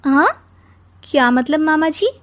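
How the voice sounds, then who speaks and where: A woman's voice: high voice, lively intonation, takes it slowly, fairly bright tone, voice pushed up, full character voice. A woman voicing a confident, cheeky young daughter-in-law in a comic storybook tale, playful and sing-song. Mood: polite, puzzled. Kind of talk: acted comic Hindi storybook dialogue.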